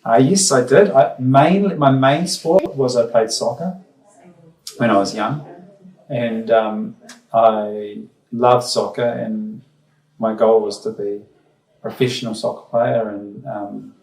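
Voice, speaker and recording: A man's voice: medium pitch, slow, a little mellow. A middle-aged New Zealand man and school principal, answering interview questions thoughtfully with many ums and restarts. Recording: roomy sound from far off the mic.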